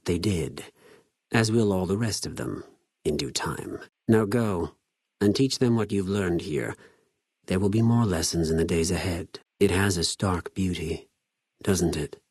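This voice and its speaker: An actor playing a cold, cunning spy of a Roman-styled slaver army in a video game, speaking in a measured, formal, menacing way with precise diction. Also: male voice, deep voice, a little mellow, breathy edge, no echo, studio quality. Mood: calm, condescending. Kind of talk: scripted video game character dialogue.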